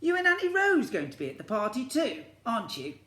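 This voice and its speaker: A man's voice: extremely high pitch, wildly swooping pitch, brisk, pushed and bright, pitched above the performer's own voice, heavily acted. A man voicing an eager, clingy little boy from a storybook, with a childlike questioning delivery. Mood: anxious, pleading. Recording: echoey room.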